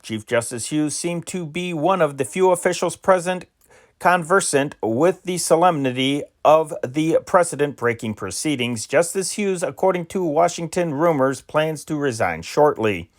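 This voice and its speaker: A man's voice: fairly high pitch, moderate pace. Man reading old newspaper dispatches. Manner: steady reading with occasional slips and restarts. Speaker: reader of historic news dispatches.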